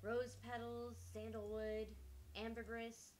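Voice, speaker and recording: A woman's voice: a little high, level tone, slow, a little mellow, a little hiss or hum, low-quality recording. A woman voicing a small, grumpy witch in her twenties for a visual novel, dry and sarcastic. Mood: wry, casual. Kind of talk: visual novel character read-aloud.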